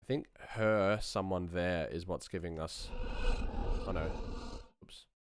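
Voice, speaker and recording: A man's voice: deep voice, takes it slowly, clear, resonant tone. A man giving a casual live-stream tutorial as a sound designer, thinking aloud while he works.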